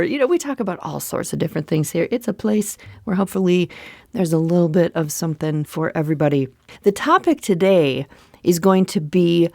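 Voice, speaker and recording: Minnesota lady chatting on her podcast, kinda low voice, dry close mic, upbeat and rambly. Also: a little mellow, no echo. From central Minnesota. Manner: chatty and upbeat, with frequent restarts. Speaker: podcast host. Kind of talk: solo podcast chat.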